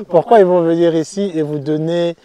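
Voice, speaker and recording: A man's voice: fairly high pitch, mellow. A man working as an interviewer, asking long, meandering questions in a casual, conversational way.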